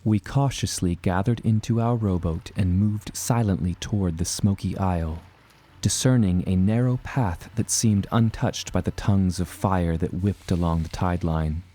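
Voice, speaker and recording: An earnest adventurer recounting his voyage. The man's voice is deep and mellow, with measured, formal phrasing suited to dramatic audio storytelling. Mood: solemn. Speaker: narrator and protagonist. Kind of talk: scripted first-person adventure narration.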